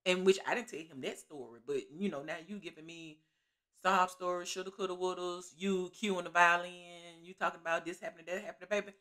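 A middle-aged woman telling a story in her living room in a sassy, animated, preachy way, laughing often, into a webcam. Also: lowish voice, a little fast. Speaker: storytelling vlogger. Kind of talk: casual storytime vlog. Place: living room at home, seated on a couch.

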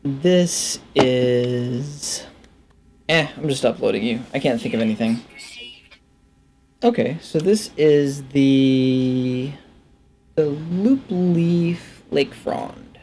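A man in his thirties giving casual gameplay commentary, halting and muttering to himself as he names his discoveries. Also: medium pitch, darkish tone, slight background noise. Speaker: gaming video host. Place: small indoor room at a computer.

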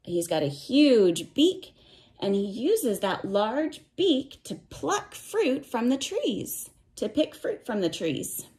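A woman's voice: fairly high pitch, big pitch swings, slow pace, darkish tone. A woman reading a picture book aloud to young children, with sing-song verse and simple explanations and questions. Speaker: read-aloud storyteller for children.

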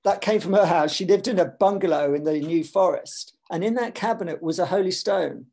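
An older man talking on a video call from his home, an animated and digressive speaker with many restarts in a reflective literary conversation. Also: high-pitched, brisk, vocal fry. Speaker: author in panel conversation. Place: home room with shelves.

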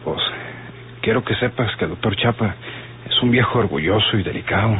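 An actor in an old radio drama playing a loyal, cautious outlaw accomplice who consoles and advises. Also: male voice, on the low side, fairly quick, slight background noise, close to an everyday voice. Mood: cautious concern. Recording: tinny old radio recording with music.

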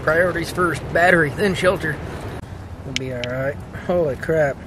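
A man in his thirties chats to the camera from inside a tent at night, casual and quick to exclaim. He cracks jokes as he goes. Wind and outdoor noise come through clearly behind him. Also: on the high side. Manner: excitable, exclaiming, joking. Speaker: camping vlogger. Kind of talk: casual solo vlog narration.

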